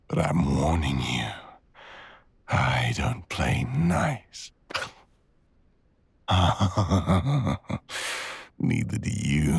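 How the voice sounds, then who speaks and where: Casting: male actor for menacing dragon villain in intimate ASMR roleplay, extremely low husky voice, very slow seductive delivery. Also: dry recording, heavily acted. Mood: seductive, warning. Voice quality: gravelly, husky, breathy growl. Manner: slow, deliberate, teasing drawl with murmurs.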